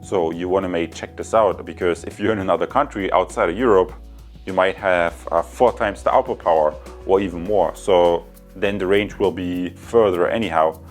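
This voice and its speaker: A man with a fairly low voice presents a casual tech review, chatting directly to viewers. Faint music plays behind his talk. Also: fairly even intonation, normal speed. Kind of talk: casual product review and field test talk.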